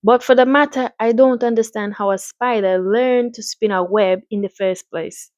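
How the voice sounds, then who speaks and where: Lady doing an old caribbean doctor guy from a storybook, calm n wry, real dry close sound. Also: fairly high pitch, moderate pace, no echo, natural voice. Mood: wry, questioning. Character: old bearded village doctor, calm and musing, male.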